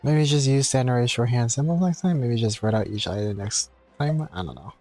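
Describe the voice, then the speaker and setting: A man's voice: mid-range voice, lively intonation, brisk, dark tone, faint music behind. A man giving casual livestream commentary as he plays a game and reads choices aloud. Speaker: game streamer.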